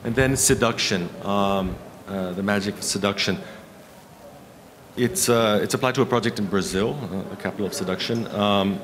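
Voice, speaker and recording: A man in his fifties giving a conference keynote as an architect, with a halting delivery full of pauses. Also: on the low side. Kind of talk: conference presentation with slides. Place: conference hall stage at a lectern. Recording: clear background room noise.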